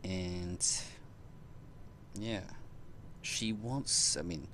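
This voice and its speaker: A man giving casual live commentary on a game playthrough, musing aloud haltingly. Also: low-pitched, background noise. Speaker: game streamer. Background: game music.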